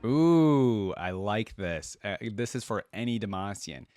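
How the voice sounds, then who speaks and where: A man's voice: lowish voice, lively intonation, unhurried, a little mellow, no echo, soft background music. A man hosting a reaction video, chatting informally to viewers in casual commentary on a close mic. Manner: informal, conversational, addresses viewers. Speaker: host of a reaction channel.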